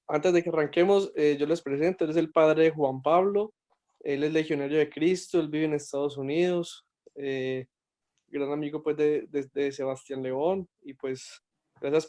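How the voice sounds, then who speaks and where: Host with a higher voice, halting delivery, on a video call. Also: male voice, steady tone, darkish tone. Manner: halting, with restarts. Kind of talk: introducing a guest.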